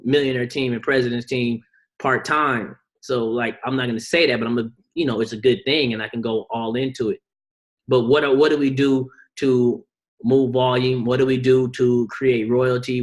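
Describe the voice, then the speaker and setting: Casual man hosting a team video call. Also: mid-range voice. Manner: casual, rhetorical questions, slangy.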